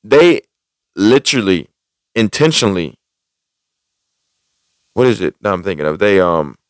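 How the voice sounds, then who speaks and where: A man with a fairly low voice talks as a podcast host, recorded close and dry. He vents in a casual, personal storytelling way, circling back and repeating phrases to drive his point home. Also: big pitch swings, measured pace, dry recording. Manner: repetitive, emphatic, with restated phrases.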